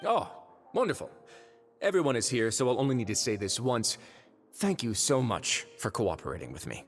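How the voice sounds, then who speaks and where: An actor playing a calculating fortress lord in a video game, outwardly courteous and wry with quiet menace, speaking in measured, polite phrases. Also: male voice, mid-range voice, sing-song, lightly acted. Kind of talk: scripted game voice acting. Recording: long reverb, music underneath.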